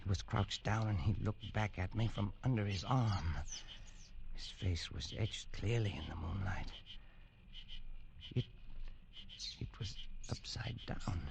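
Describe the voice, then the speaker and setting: A man's voice: lowish voice, measured pace, vocal fry, pauses often, darkish tone, a little hiss or hum. An actor playing a frightened male explorer in an old radio drama, narrating in a tense, stammering way. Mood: shaken. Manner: tense, stammering, jumpy delivery. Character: frightened adult male explorer recounting being hunted. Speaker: narrator and lead character. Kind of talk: 1940s radio drama acting. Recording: vintage radio broadcast with music bed.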